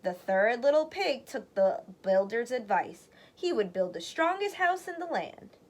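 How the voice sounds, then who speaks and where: A woman storyteller narrating a children's read-aloud in an expressive, deliberate way. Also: on the high side, slight background noise.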